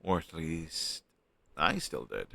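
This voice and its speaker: A man's voice: extremely low pitch, big pitch swings, vocal fry, sharp tone, no room sound, full character voice. A man playing a flustered young man shyly hiding a crush on his best friend, speaking hesitantly and sheepishly in an intimate audio roleplay. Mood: flustered, confessional. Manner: hesitant, sheepish, halting conversational delivery. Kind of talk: intimate one-sided conversational audio roleplay.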